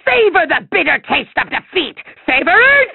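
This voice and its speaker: A man voicing a small, bombastic, petulant evil-genius villain for a video game, with a shrill, nasal, scratchy voice and pompous theatrical delivery. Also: big pitch swings, vocal fry, dry recording, phone-quality sound, full character voice. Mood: gloating, grandiose. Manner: pompous, theatrical, drawn-out boasts and sudden shouts.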